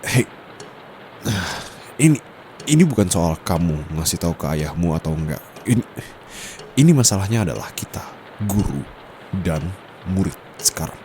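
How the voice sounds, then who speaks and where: Guy acting as strict young indonesian-accented tutor, deep voice, asmr roleplay, firm n scolding, bit of background noise. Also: big pitch swings, moderate pace, pauses often, dark tone. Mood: exasperated scolding. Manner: firm, scolding, formal, slips into English phrases. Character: stern young male private tutor, secretly dominant. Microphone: close condenser microphone.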